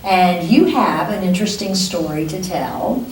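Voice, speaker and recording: A woman conducts an oral history interview, asking questions in a polite, formal manner with a slightly low voice. She sounds distant from the microphone in an echoey room, with faint background noise. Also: expressive, unhurried, a little mellow.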